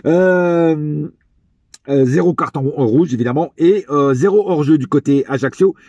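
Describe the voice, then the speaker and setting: Husky, hesitant French football fan. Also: male voice, a little high, unhurried, warm tone, dry recording, dull audio. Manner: hesitant, many fillers and restarts, chatty. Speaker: football fan reviewing a match. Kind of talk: casual spoken match review.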